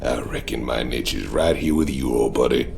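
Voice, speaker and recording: Swaggering outlaw buddy, rock-bottom pitch, heavy gravel, Southern US drawl, game dialogue. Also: male voice, normal speed, darkish tone, slight background noise, heavily acted. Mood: swaggering, fond. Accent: Southern American. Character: burly crude outlaw sidekick, loyal old buddy.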